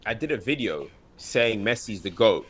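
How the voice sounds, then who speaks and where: A man's voice: lowish voice, very animated, moderate pace, husky. A man co-hosting a casual football chat, emphatic and fond of mocking repeated phrases. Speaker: co-host.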